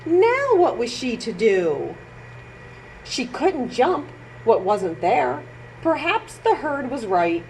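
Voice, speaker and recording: A woman with a lively, swooping voice reads a children's story at an unhurried pace. She stresses words in a sing-song way and adds little asides to young listeners. There is clear noise behind her. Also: fairly high pitch, poor audio. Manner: sing-song, exaggerated emphasis, pauses to comment on pictures. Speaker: children's librarian storytime reader. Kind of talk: expressive read-aloud for young children.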